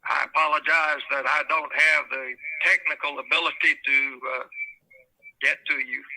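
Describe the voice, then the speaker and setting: A man's voice: on the high side, steady tone, moderate pace, bright, forceful tone. A man speaking as an attorney over a speakerphone, formal and courteous. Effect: phone on speakerphone. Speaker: attorney for an applicant. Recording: tinny speakerphone line.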